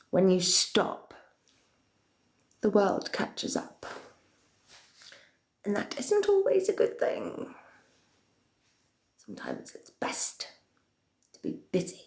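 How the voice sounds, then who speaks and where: Woman playing a whispering dark queen. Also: mid-range voice, wildly swooping pitch, full character voice. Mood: sinister, theatrical. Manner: theatrical, slow, often breathy whisper. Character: centuries-old female queen of darkness, villainous, theatrical. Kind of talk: in-character chat with viewers. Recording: roomy echo.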